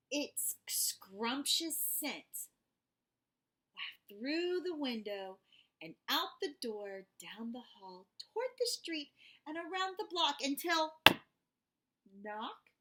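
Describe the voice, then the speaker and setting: A woman narrates a picture book for children in a fairly high, highly melodic voice. She reads slowly, leaving dramatic pauses and stretching out phrases. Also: fairly bright tone. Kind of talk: expressive children's read-aloud narration.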